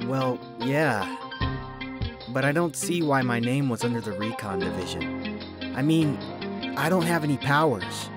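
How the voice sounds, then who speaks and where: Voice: mid-range voice, expressive, measured pace, pauses often, loud music behind the voice, natural voice. A performer playing an earnest, defiant teenage boy recruit in a fan audio drama, a little hesitant. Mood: nervous, puzzled.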